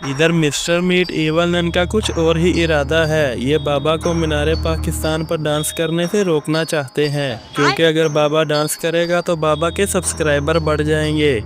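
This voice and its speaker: A man's voice: a little high, flat delivery, few pauses. A man narrating a comic cartoon story from a script, reading out all the characters' lines himself. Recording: music behind the voice. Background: music.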